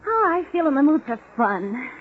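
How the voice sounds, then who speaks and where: An actress playing a coy, streetwise American nightclub dancer in 1940s radio drama style, slangy and teasing. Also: female voice, high voice, big pitch swings, normal speed. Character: flirty, streetwise nightclub dancer, loving crook's accomplice. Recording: muffled 1940s radio recording.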